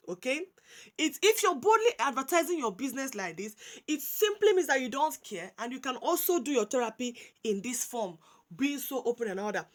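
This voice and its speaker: A Nigerian woman with a naturally high voice speaks in a casual, opinionated commentary style, mixing English with Pidgin. Her delivery is animated and repetitive, full of restarts and emphatic asides. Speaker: commentary vlogger.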